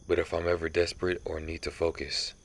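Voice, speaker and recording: Man playing a deep, brooding vampire hunter. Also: little pitch movement. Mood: somber, guilty. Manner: slow, somber, halting confession. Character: centuries-old male vampire hunter, brooding, guilt-ridden, resolute. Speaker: voice actor in audio roleplay. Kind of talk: ASMR audio roleplay monologue.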